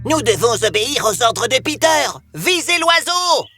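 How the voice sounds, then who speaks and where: An earnest fairy-tale boy, boyish and emphatic, with a swooping, animated delivery over music. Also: high voice, moderate pace. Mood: determined. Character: obedient, earnest young lost boy. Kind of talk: children's animated storybook acting.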